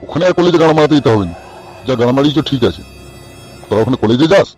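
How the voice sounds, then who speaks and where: Man playing a fast, irritable cartoon father, West Bengal accent. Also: medium pitch, lively intonation, darkish tone, faint music behind, lightly acted. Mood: gruff, scolding. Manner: scolding, crude, blunt. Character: irritable, foul-mouthed middle-aged village father. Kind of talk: comic cartoon dialogue.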